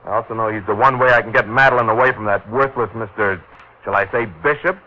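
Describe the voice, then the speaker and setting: An actor playing a haughty, jealous and threatening rival suitor in an old-time radio drama. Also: male voice, fairly low pitch, quick pace, natural voice. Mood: defiant. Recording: dull, faintly noisy vintage radio recording.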